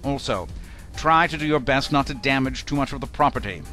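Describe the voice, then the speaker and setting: A man's voice: mid-range voice, brisk, pushed and bright, faint music behind, natural voice. A man playing a businesslike commander in an audio drama, giving briefings in a formal, composed manner. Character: businesslike male commander briefing mission teams.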